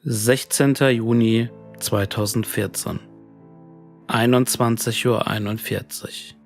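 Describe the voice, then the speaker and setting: A man's voice: mid-range voice, steady tone, slow pace. A man narrates a scripted horror tale in a mid-range voice. Music plays behind his reading. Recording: music bed under the voice.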